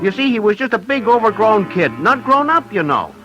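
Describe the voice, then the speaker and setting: An actor playing a chatty, emphatic, colloquial workmate in an old radio crime drama. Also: male voice, high voice, big pitch swings, brisk, pushed and bright, exaggerated voice, low-quality recording. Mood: incredulous, fond. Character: chatty, incredulous workmate, fond of his friend. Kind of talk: 1940s-50s radio crime drama acting. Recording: old radio recording with music behind.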